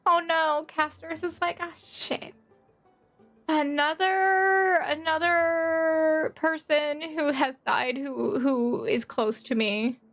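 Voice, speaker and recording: A woman giving casual, expressive playthrough commentary as a game streamer, exclaiming and reading dialogue aloud. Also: sits high, slow pace. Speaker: streamer reacting to a game story. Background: game music.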